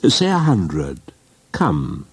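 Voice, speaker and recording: A man's voice: a bass voice, huge pitch swings, drawn-out words, slightly rough, no echo, heavily acted. A man voicing a brazen swindler in an audiobook, haggling in curt, telegraphic phrases. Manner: telegraphic, clipped phrases, hardened. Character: brazen fast-talking male swindler, clipped and haggling.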